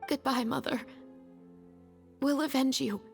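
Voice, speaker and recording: Composed young woman, high pitch, cool, firm, matter-of-fact, game acting, faint music behind. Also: steady tone, unhurried, vocal fry. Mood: grim resolve. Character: composed, resolute young woman, a protective sister. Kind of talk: scripted video game voice acting.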